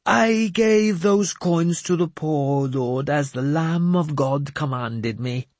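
A man voicing a mad, sly, self-proclaimed bishop who talks in a high, slow, sing-song preaching way. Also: darkish tone, no room sound.

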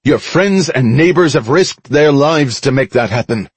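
Male narrator as earnest young-hearted soldier: pleading yet firm, pushed higher, audiobook drama. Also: lively intonation, normal speed, breathy edge, dry recording. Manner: emphatic, loud appeals.

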